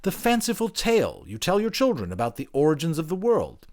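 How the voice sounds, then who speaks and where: Audiobook role, man acting: polite anthropologist, inquisitive and eager to please. Lifted pitch, swooping intonation. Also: huge pitch swings, fairly quick, a little mellow. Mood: curious. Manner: fussily polite, placating, inquisitive. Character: eager, overly polite, mopey-turned-keen male anthropologist interviewer. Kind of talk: audiobook character voice.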